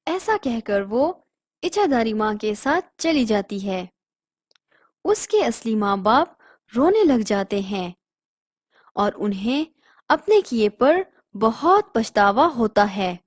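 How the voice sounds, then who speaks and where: A woman's voice: on the high side, sing-song, slow pace, long pauses, dry recording, clean studio sound. A woman narrating a scripted story for children.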